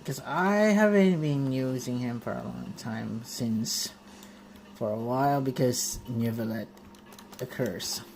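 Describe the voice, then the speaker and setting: A woman game streamer reacting casually and with animated exclamations on a phone livestream with faint noise. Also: rumbling low, slow pace.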